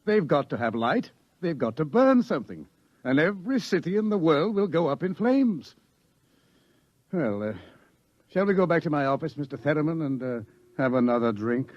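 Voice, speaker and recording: A dramatic radio scientist, fairly high in pitch and swooping with emphasis. He lectures with grim certainty on a dull, lo-fi recording. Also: big pitch swings, dry recording. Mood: authoritative. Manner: emphatic, theatrical, ominous pauses. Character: grimly certain scientist doctor, lecturing on coming madness. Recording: dull, dry vintage radio sound.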